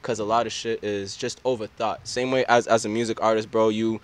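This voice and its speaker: A man's voice: lowish voice, flat delivery, fairly quick. A man in his thirties hosting an interview, slangy and effusive, on a handheld mic in an indoor studio. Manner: slangy, says "bro" constantly, effusive. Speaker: host. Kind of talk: casual interview chat. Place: indoor studio set with couches.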